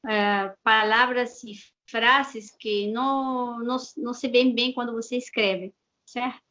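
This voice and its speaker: A woman from Peru, a native Spanish speaker with a heavy Peruvian accent, chatting as an interview guest in an unhurried way, restarting and repeating words. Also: a little high, steady tone, sharp tone, a little hiss or hum. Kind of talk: casual interview chat. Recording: dull lo-fi sound.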